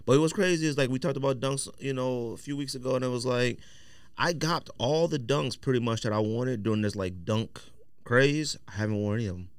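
A man's voice: medium pitch, a little fast, clear, resonant tone, no echo, clean studio sound. A middle-aged American man hosting a podcast, chatting casually in a slangy, opinionated way. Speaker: podcast host. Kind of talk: casual chat about sneakers. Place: podcast studio.

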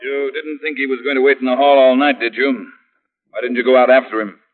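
A man's voice: mid-range voice, fairly even intonation, fast, close to an everyday voice. Man playing a curt, suspicious police lieutenant, tinny radio. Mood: brusque. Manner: curt, challenging questioning. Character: gruff, suspicious, needling male police lieutenant. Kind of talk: 1950s radio detective drama acting. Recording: narrow tinny vintage radio recording.

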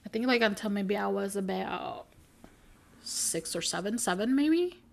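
A young woman in a medium-pitched voice talks her way through a casual podcast chat. She rambles, corrects herself mid-sentence and breaks into giggles. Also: expressive, a little slow, creaky. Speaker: podcast co-host.

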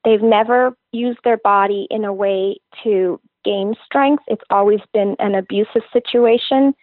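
A woman's voice: medium pitch, steady tone, unhurried, no echo. A woman fitness trainer answers interview questions with earnest enthusiasm, laying out her methods step by step. Her voice comes through a thin, phone-like line, dry and close with no room sound.

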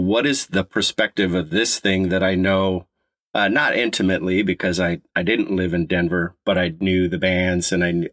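A man in his fifties from Colorado, co-hosting a podcast in casual chat, talking with a slight stutter and halting restarts. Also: sits low, a bit bright, no room sound. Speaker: podcast co-host.

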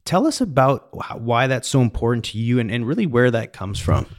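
A man's voice: mid-range voice, very animated, fairly quick. A man from British Columbia, Canada, hosting a podcast in a casual, conversational interview style. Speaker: podcast host.

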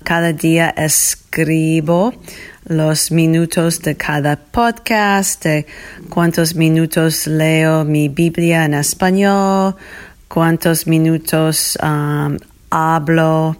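A woman with an American accent speaking learner Spanish haltingly, in a personal message to a show she follows. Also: low-pitched. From United States. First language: English. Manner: halting, searching for words. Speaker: podcast listener sending a voice message.

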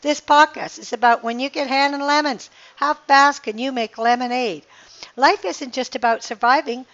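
A woman's voice: high-pitched, moderate pace, fairly bright tone, lo-fi sound. An older woman, a life coach, talking casually and earnestly on her podcast while losing her voice by the ocean. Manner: earnest, rambling, inspirational, with restarts. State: slightly hoarse, losing her voice. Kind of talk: casual motivational monologue. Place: outdoors by the ocean.

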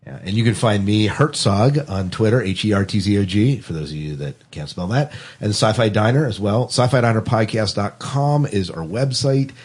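A man hosts a podcast in a relaxed conversational style. His voice has a slightly husky edge and a fairly deep pitch. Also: normal speed, hardly any pauses. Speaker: podcast host. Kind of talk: casual podcast chat.

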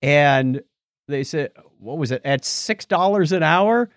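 A man's voice: a little high, expressive, slow pace, dry recording, clean studio sound. A middle-aged man co-hosting a podcast in casual banter, with a teasing, wisecracking manner. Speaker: podcast co-host.

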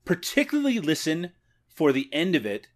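Canadian male podcast co-host, animated delivery, laughs easily, casual recap. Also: on the high side, moderate pace. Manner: laughs often, recaps scenes. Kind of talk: casual movie review chat.

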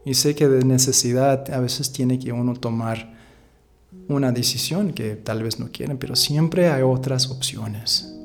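A man whose first language is English muses aloud as a podcast host, wandering through his thoughts and correcting himself as he goes. His voice sits at an ordinary middle pitch, and a quiet instrumental track plays underneath. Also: unhurried, faint music behind. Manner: rambling, thoughtful, self-correcting, chuckles. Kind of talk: casual reflective monologue.

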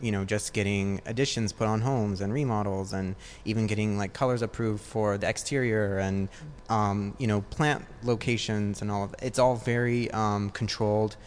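A man's voice: fairly low pitch, fairly even intonation, normal speed, hardly any pauses, a little hiss or hum. A man giving casual interview answers as a podcast guest, hesitant and searching for his words. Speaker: podcast guest, design business partner.

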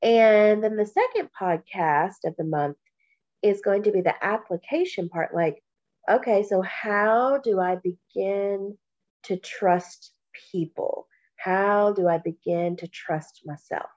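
A woman from Kentucky co-hosting a podcast, talking slowly and reflectively, with a stuffy nose from allergies. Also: mid-range voice, highs cut off. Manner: slow, warm, reflective, with many pauses and fillers. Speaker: podcast co-host. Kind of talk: casual podcast chat.

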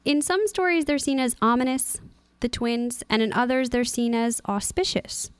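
A woman's voice: on the high side, moderate pace, clean tone, no room sound. A woman co-hosting a podcast, mixing casual chat with a prepared, informative segment full of asides. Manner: informative, reads notes with frequent asides and laughs. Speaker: podcast co-host.

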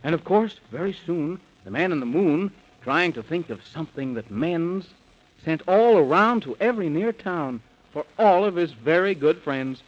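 A man's voice: a little high, animated, normal speed. A man narrating a rhyming children's bedtime story in theatrical, sing-song 1930s radio style. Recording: muffled 1930s radio recording.

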